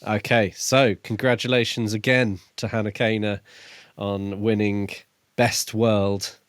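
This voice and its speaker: A man with a fairly low voice speaks as a podcast co-host in relaxed, casual book chat. The sound is very dry, with no room echo around his words. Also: unhurried.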